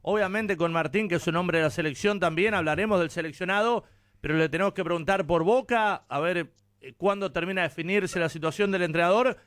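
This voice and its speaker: A man's voice: high-pitched, brisk, fairly bright tone, smooth, dry recording, clean studio sound. An Argentine man with a Rioplatense accent hosting a sports radio show, talking football conversationally. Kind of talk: conversational live football commentary.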